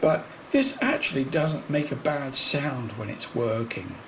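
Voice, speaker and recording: A man with a creaky voice gives an informal, matter-of-fact repair demonstration, a little hesitant, with dry asides. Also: medium pitch, very animated, a little slow, slight background noise. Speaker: hobbyist repairer presenting own video.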